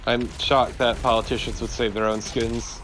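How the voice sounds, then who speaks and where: A man's voice: medium pitch, level tone, moderate pace, fairly bright tone, slight background noise, poor audio. A man chatting casually as a let's play co-host, informal and dryly sarcastic. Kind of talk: casual gameplay commentary. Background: game music.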